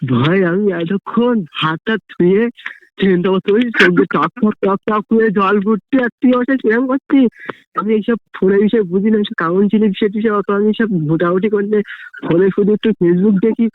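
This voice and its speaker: A man's voice: sits high, brisk, no echo. A young man, chatting on the phone in a flustered, plaintive, repetitive way. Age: young adult. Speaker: friend receiving a prank call. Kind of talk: casual phone chat. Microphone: phone line. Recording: tinny, phone-like call audio.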